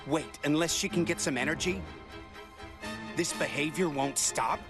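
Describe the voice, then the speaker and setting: A man's voice: on the high side, moderate pace, lightly acted. A man playing a cool, impatient young android tough guy in an anime game. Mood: impatient, suspicious. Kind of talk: dubbed anime game voice acting. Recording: music under the voice.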